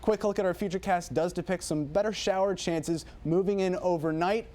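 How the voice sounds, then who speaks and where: A man presenting the morning TV weather forecast in a relaxed, chatty way, thinking aloud with casual asides. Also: high voice, fairly quick. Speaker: TV meteorologist.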